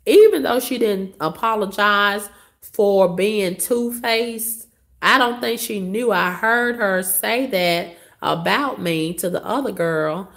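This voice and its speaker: A hoarse woman with a cough, telling a story to her viewers in a blunt, profane, dismissive way. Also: mid-range voice, takes it slowly, a bit bright. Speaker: vlogger.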